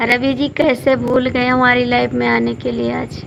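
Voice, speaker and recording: Hoarse, gravelly woman chatting on a live stream. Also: a little high, little pitch movement, moderate pace, background noise. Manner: chatty, repeatedly coaxing viewers by name to comment. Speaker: folk singer hosting a live stream. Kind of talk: casual live-stream chat reading out viewer comments.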